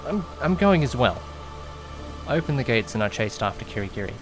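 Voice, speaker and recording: Audio drama lead, male actor: nervous, well-meaning young man, mid-pitched, first-person narration, earnest, music underneath. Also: sing-song, brisk, close to an everyday voice. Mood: earnest, uneasy. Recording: loud music behind the voice. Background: background music.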